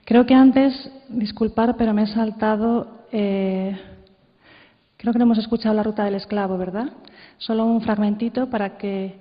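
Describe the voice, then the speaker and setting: A woman from presenting informally at a conference, halting and conversational, often adding tag questions. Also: mid-range voice, steady tone. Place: large hall at a conference. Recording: muffled, reverberant hall sound.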